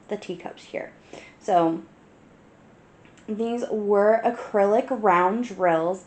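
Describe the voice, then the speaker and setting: A woman hosting a casual crafting vlog, stuffy and sniffly from a cold, talking in an unhurried way with plenty of ums and restarts. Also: on the low side, creaky, a little mellow, reverberant, slight background noise. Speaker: crafting channel host. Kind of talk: casual show-and-tell vlog.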